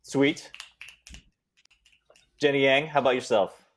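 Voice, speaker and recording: A man's voice: medium pitch, fairly even intonation, takes it slowly. Easygoing man hosting a panel. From California Bay Area. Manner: easygoing, hesitant with fillers and restarts. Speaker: panel moderator. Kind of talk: casual live-streamed panel chat. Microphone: home video call.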